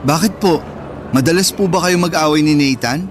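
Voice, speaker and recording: A man's voice: fairly high pitch, moderate pace, slight background noise, close to an everyday voice. A man playing a polite, affectionate young Filipino son in a radio drama, with a Filipino accent and a coaxing manner. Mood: curious, wary. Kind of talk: Tagalog radio drama acting.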